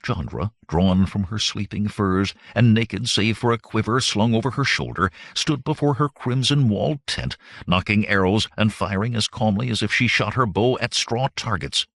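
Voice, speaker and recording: A man's voice: lowish voice, moderate pace, hardly any pauses, fairly bright tone, no echo, studio quality. A man reading fantasy adventure fiction as a dramatic audiobook narrator.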